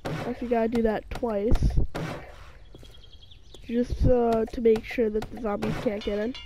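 A woman's voice: fairly high pitch, flat delivery, unhurried, noisy background. A woman talking over her survival game while sick, rambling with lots of fillers and restarts. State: sick, voice sounds off. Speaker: gaming channel host. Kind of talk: casual let's-play commentary.

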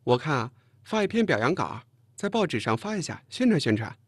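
一位男演播者在有声书里演一个爱捉弄人、又爱发号施令的年轻女同事，时而撒娇，时而数落。. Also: male voice, a little high, big pitch swings, breathy edge, no echo, voice pushed up. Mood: cheerful. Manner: mocking, quick to pout and scold. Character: brash teasing young woman colleague, playful and bossy. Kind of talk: audiobook character dialogue.

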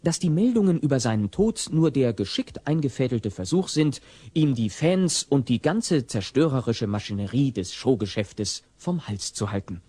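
A man's voice: mid-range voice, big pitch swings, moderate pace, warm tone, husky, dry recording. A man narrating a comedy audio play as a wry, theatrical storyteller. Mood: mock solemn. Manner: wry, dramatic.

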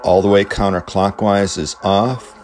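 Man, deep voice, unhurried step-by-step instruction, terse phrasing, faint noisy background. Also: little pitch movement, darkish tone. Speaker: video host, radio demonstrator. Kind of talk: instructional demonstration narration.

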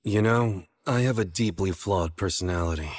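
A man's voice: low-pitched, normal speed, dry recording, studio quality. A man voicing a cocky, playful young sorcerer teacher in an anime dub, with a breezy, teasing, theatrical manner. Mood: low, cynical. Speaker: voice actor in an English anime dub. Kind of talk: animated dub dialogue.

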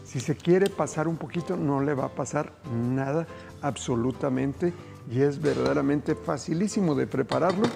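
Enthusiastic TV cooking host. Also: male voice, fairly high pitch, moderate pace, vocal fry, music in the background. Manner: enthusiastic, addresses viewers directly. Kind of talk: cooking demonstration. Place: TV studio kitchen set.

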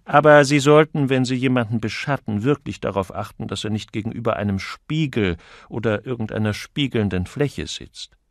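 A man voicing a witty, teasing gentleman sleuth in an audiobook, with an airy, flowery, mock-lecturing manner. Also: mid-range voice, normal speed, no echo, studio quality, close to an everyday voice. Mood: amused, lecturing.

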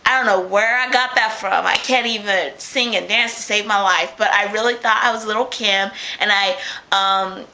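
A woman's voice: medium pitch, fairly even intonation, normal speed, bright, forceful tone, low-quality recording. Chatty young woman on a webcam. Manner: chatty, laughing easily, many restarts. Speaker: vlogger. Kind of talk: casual video diary. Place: small room at home, indoors. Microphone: webcam at close range.

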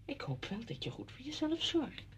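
A woman's voice: high-pitched, wildly swooping pitch, normal speed, a little hiss or hum, heavily acted. An actress playing a chatty, apologetic married woman visiting a friend in a radio drama, effusive, rambling and flustered.

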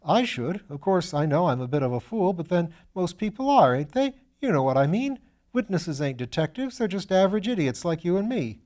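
A man reads out an eager young upper-class student in a storybook. He is easily flustered and runs himself down in old-fashioned slang. The voice rides high and stays mellow. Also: a little fast. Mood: flustered, unsure. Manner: excitable, self-deprecating, old-fashioned slangy speech.

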